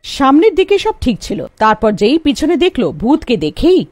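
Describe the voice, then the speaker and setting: A woman's voice: high-pitched, sing-song, normal speed, a little mellow, dry recording. A woman giving a scripted narration of a horror fairy tale.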